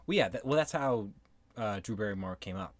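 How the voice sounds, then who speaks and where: A man's voice: on the low side, expressive, brisk, no echo. A man co-hosting a podcast in casual chat, teasing his co-host and laughing often.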